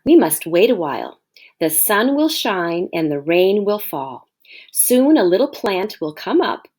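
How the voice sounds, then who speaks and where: Casting: patient storybook papa pig read by a woman, reassuring, slow delivery with expressive melody. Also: mid-range voice. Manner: patient, explanatory.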